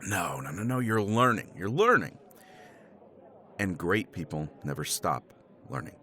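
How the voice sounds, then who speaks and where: A man's voice: low-pitched, big pitch swings, unhurried, pushed and bright, full character voice. A man playing a tipsy middle-aged professor in a romance roleplay, rambling with chuckles and casual swearing. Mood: persuasive. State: tipsy from scotch. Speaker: roleplay character.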